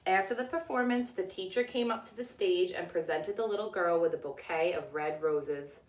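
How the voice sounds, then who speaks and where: A woman narrating a children's storybook as a teacher reading aloud, with deliberate, expressive delivery. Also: lowish voice, recorded from a distance, slight background noise. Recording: echoey room, away from the mic.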